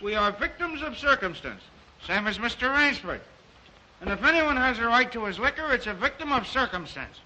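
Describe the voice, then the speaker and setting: Boisterous tipsy brother, very high voice, sharp and forceful, slangy, jovial, carefree. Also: male voice, sing-song, moderate pace, full character voice, poor audio. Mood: pompous, tipsy.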